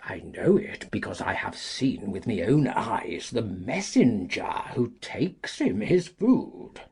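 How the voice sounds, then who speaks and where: An actor playing a cantankerous, self-righteous old country gentleman, with a husky, creaky voice and a pompous, indignant delivery. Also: lowish voice, sing-song, slow, heavily acted. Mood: sly, boastful. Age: older. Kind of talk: dramatised classic audiobook acting.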